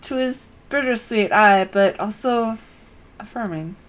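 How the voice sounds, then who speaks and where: A woman's voice: medium pitch, natural voice. A woman reading an earnest young scholar. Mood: solemn. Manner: measured, formal phrasing. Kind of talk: reading game dialogue aloud.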